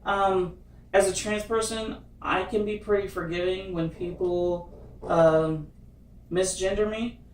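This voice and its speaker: A woman hosts a casual chat at a very slow, careful pace, often stopping and restarting as she searches for words. She sounds far from the mic, in a roomy, echoey space with faint background noise. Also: mid-range voice, steady tone, darkish tone. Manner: halting, hesitant, restarts and fillers. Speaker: host.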